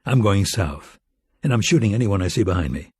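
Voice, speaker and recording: A male narrator voices a stoic covert operative in a thriller audiobook. He is terse and commanding, with a husky voice and a dry, close sound. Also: fairly low pitch, animated, fairly quick, a little mellow, dry recording. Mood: grim, resolute. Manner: terse, authoritative. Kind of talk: audiobook character dialogue.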